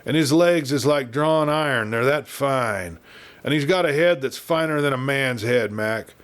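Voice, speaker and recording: A man's voice: medium pitch, unhurried, natural voice. Western audiobook sidekick, nervous cowboy with rustic American accent, whispery, apologetic, pleading; male reader acting. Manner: hushed, sighing, whispering, apologetic. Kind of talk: Western audiobook character reading.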